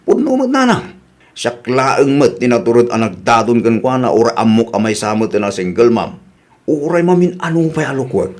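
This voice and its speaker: A man's voice: medium pitch, sing-song, moderate pace, a little mellow, lightly acted. A man playing a boastful, excuse-making philandering husband in an Ilocano radio comedy drama, with an Ilocano Filipino accent. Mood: boastful, whining.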